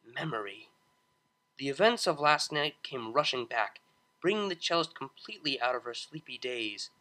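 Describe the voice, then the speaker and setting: Male storyteller for scripted fiction, lively intonation, bright and forward tone. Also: medium pitch, normal speed. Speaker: audiobook narrator. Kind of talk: expressive scripted narration of fan fiction.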